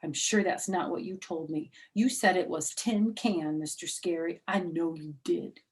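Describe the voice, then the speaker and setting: A woman's voice: fairly low pitch, unhurried, lightly acted. A woman plays a smug little schoolgirl from a children's storybook. She boasts and insists, stubbornly sure that she knows best. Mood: sulky, insistent.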